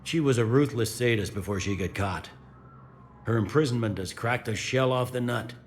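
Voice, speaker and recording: Man acting a blunt, gruff police commander. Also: mid-range voice, normal speed, close to an everyday voice. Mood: grim. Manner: blunt, wry. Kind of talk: video game dialogue acting. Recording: faint background noise and ambience.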